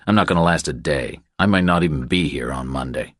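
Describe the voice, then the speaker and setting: A man's voice: very deep voice, fairly quick, dry recording, studio quality. A man voicing a terse, wary, understated male drifter in a thriller audiobook.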